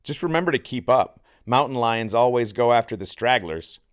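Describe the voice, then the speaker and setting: A man's voice: medium pitch, expressive, moderate pace, clear, resonant tone, voice pushed up, lightly acted. A man voicing a mocking, cocky older teenage boy in an audiobook, sarcastic and taunting. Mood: dismissive.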